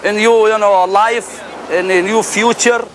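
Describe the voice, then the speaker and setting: A non-native man with a high voice speaks earnestly in imperfect, non-fluent English. He sounds like a local answering an interview, with faint noise in the street recording. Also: steady tone, measured pace, fairly bright tone. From immigrant who came to America as refugee. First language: not English. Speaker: local resident being interviewed.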